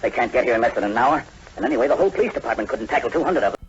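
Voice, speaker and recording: An actor playing a cautious, steady male doctor, measured and advising, in a 1940s radio drama. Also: mid-range voice, lightly acted. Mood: wary, steadying. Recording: very noisy, muffled 1940s radio recording.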